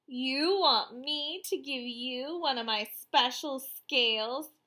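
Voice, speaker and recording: A woman's voice: high-pitched, takes it slowly, bright, forceful tone, exaggerated voice. A woman voicing a proud, vain male rainbow fish in a haughty, emphatic way for a storybook. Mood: indignant.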